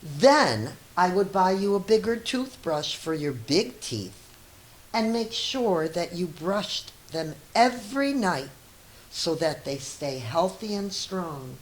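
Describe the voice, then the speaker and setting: A woman's voice: fairly low pitch, very animated, takes it slowly. A woman voicing a loving, patient mother who reassures her small child in a storybook read-aloud. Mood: reassuring. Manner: tender, emphatic reassurance. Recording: roomy echo with faint hiss.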